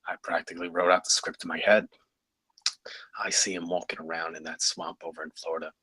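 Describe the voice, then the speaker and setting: Gruff, very low husky actor as weary designer. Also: male voice, little pitch movement, fairly quick, pushed and bright, dry recording, heavily acted, low-quality recording. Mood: wry, rueful. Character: gruff, wry, exasperated male theme-park designer, loyal colleague. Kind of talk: live screenplay table read.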